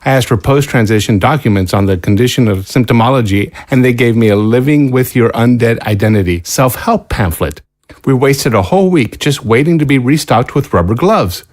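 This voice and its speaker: An actor playing a frustrated lab scientist who complains bitterly and swears, in a radio drama. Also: male voice, mid-range voice, lively intonation, brisk, a little mellow, no room sound, clean studio sound, lightly acted.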